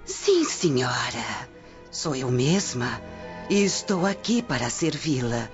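A woman's voice: extremely low pitch, big pitch swings, music in the background, full character voice. A woman with a Brazilian accent playing a very old, servile, mysterious fortune-teller in a radio drama, speaking formally. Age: older. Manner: slow, obsequious, formal. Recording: dull lo-fi radio drama audio.

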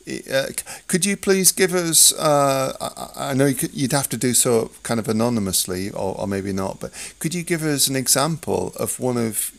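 A man's voice: medium pitch, animated, moderate pace, vocal fry, hardly any pauses. A man with a mid-range voice hosts a conversational interview. He talks in a stammering way, restarting and rewording his phrases. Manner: stammering, rephrasing, frequent affirming interjections.

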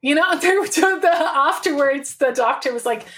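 A talkative middle-aged Irish woman with a slightly raised pitch tells her story to a podcast host. She speaks loosely and colloquially, laughing easily as she goes. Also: expressive, normal speed, fairly bright tone. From Ireland. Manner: chatty, laughs often, colloquial. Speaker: guest, business owner and mother. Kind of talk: podcast interview, candid storytelling.

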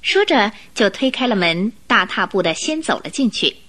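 A woman reading scripted story narration for a radio drama in an expressive way. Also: medium pitch, normal speed.

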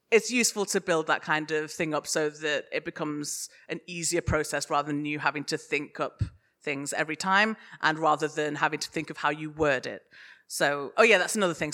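A woman giving an informal panel talk as a guest, giggly and animated, often correcting herself as she goes. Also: low voice. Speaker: guest speaker, audio fiction producer. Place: live panel at a podcast festival.

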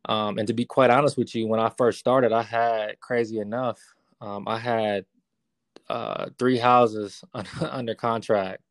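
A man in his thirties speaks low and gravelly, with a flat, even delivery. He answers interview questions casually, full of fillers and restarts. The recording is dry and close. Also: unhurried, no echo. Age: 30s. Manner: relaxed, many fillers and restarts. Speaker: guest, real estate investor.

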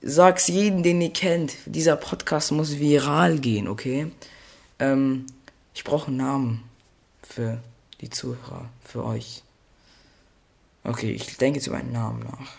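A man's voice: medium pitch, measured pace, vocal fry, long pauses, darkish tone. A teenage boy hosting a solo podcast, rambling playfully, correcting himself and losing his train of thought. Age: teens. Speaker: podcast host.

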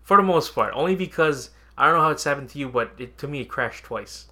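A man's voice: a little high, lively intonation, fast, sharp tone. A man co-hosting a podcast, chatting casually about video games and often chuckling as he talks. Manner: chuckles often while talking. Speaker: podcast co-host.

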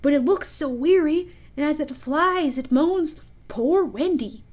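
A woman voicing excitable, simple young boys from a children's adventure storybook, exclaiming breathlessly. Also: high voice, a little slow, voice pushed up. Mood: awestruck.

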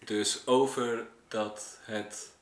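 A man's voice: fairly low pitch, fairly even intonation, drawn-out words. A man hosting a casual, conversational podcast interview. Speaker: podcast host interviewing artists. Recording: echoey room.

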